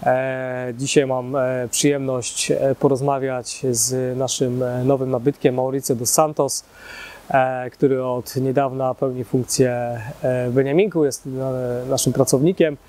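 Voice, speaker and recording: A man's voice: mid-range voice, little pitch movement, moderate pace, mellow. A man interviewing a new staff member, speaking hesitantly with frequent fillers. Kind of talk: interview questions.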